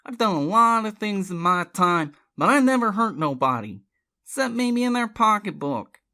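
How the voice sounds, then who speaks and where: A woman's voice: medium pitch, very animated, moderate pace, pushed and bright. A woman voicing a crude, mocking middle-aged male rogue in an audiobook, sarcastic and defensive. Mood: indignant.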